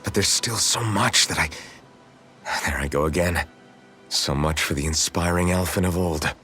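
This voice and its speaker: Brooding young game hero, lowish voice, earnest and wounded, music going behind him. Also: male voice, normal speed, sharp tone. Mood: downcast, reflective. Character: troubled, earnest young male hero, wounded but determined. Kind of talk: dubbed anime-style game voice acting. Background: prominent background music.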